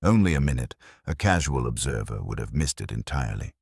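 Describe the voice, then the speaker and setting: A man reading scripted narration of a mystery story in a measured, literary storytelling manner. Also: very deep voice, sing-song, vocal fry, slightly rough, no echo, studio quality.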